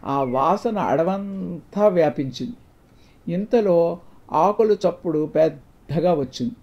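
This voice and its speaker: A man's voice: fairly high pitch, expressive, takes it slowly. A man reading a scripted moral folk tale as a storyteller. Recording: dull, muffled lo-fi sound.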